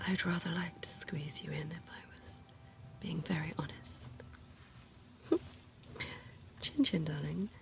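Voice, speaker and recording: Woman as a slow, slinky vampire dentist, flirty ASMR whisper, low and gravelly. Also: fairly even intonation, full character voice. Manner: soft, teasing, intimate whispering. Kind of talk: ASMR roleplay.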